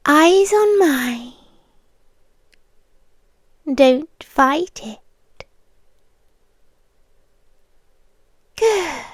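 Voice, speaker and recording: Slow, breathy British vampire girlfriend played by a woman. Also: sits high, no room sound, clean studio sound, exaggerated voice. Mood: commanding, soothing. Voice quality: breathy, often dropping into whispers. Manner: slow, intimate, lingering delivery. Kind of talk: ASMR roleplay.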